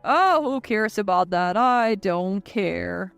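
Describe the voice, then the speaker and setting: A woman's voice: medium pitch, expressive, takes it slowly, creaky, fairly bright tone, clear, resonant tone, faint music behind, full character voice. A woman playing a callous, petulant husband and mama's boy in a narrated story, dismissive and self-satisfied. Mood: cold, smug.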